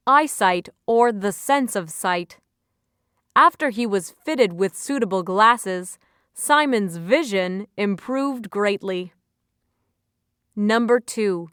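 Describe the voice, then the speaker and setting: A woman reading scripted dictionary-style definitions and example sentences in a measured, clearly enunciated way. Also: medium pitch, fairly bright tone, no echo, clean studio sound. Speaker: vocabulary narrator.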